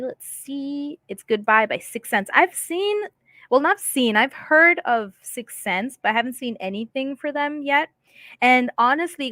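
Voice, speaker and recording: A woman's voice: a little high, pushed and bright, no echo. Chatty woman hosting a live stream. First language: English. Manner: chatty, reads viewer comments aloud and laughs often. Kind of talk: casual live-stream chat.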